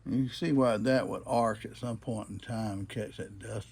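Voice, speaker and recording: A man with a husky voice gives a casual, unscripted demonstration, explaining things in a plain-spoken, halting way. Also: lowish voice, fairly even intonation, darkish tone. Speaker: presenter showing a restored old radio.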